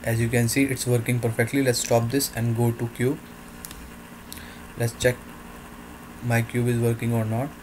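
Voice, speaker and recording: A man narrating a software demo in halting, non-native English phrasing. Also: mid-range voice, completely flat delivery, background noise.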